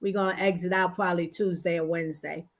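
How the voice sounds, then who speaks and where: A woman's voice: lowish voice, steady tone, fast. A woman co-hosting a podcast, chatting in a playful, upbeat way and dropping rhymes. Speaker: podcast co-host. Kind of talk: casual chat.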